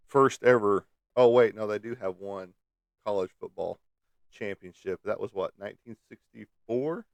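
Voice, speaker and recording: Guy at his desk chatting and ribbing a viewer, lots of little laughs, lowish smooth voice, dry close sound. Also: no echo. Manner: teasing, chuckles often. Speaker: host. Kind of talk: casual chat with a viewer. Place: at a desk indoors.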